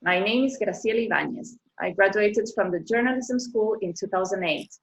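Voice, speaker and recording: Woman hosting an online event, reading her welcome from notes, steady and even. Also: mid-range voice, muffled recording. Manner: measured, reads prepared introductions. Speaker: event host. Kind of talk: scripted welcome remarks.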